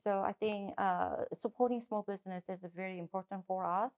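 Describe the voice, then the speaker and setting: A woman's voice: mid-range voice, fairly even intonation, a little fast, a little mellow, lo-fi sound. A middle-aged woman with a Korean accent, a native Korean speaker, answering interview questions as a political candidate with frequent restarts and fillers.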